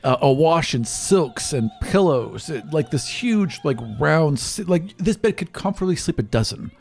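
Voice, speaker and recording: A man's voice: fairly high pitch, expressive, moderate pace, a little mellow. A man narrating a tabletop role-playing game as game master in an improvised, conversational way.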